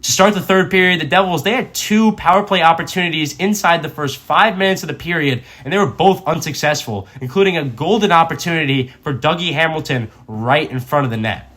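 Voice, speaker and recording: A man's voice: on the high side, fairly quick, few pauses, fairly bright tone. A man working as a sports reporter, narrating a scripted hockey game recap.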